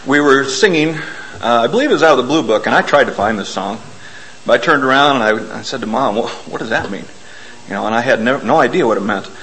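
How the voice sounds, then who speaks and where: A man delivers a relaxed, self-deprecating sermon in a church, explaining old hymn words to his congregation. His voice is hoarse from a cold, and he clears his throat often. A faint background hum sits under his voice. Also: mid-range voice, fast, rough recording. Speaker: lay preacher. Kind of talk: informal sermon.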